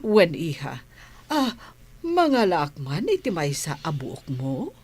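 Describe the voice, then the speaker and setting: Actress as wealthy mother, radio drama, emotional, husky tone, very lively intonation. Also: sits low, takes it slowly, exaggerated voice. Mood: gentle, curious. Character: emotional wealthy mother searching for her true daughter. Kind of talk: Filipino radio drama acting.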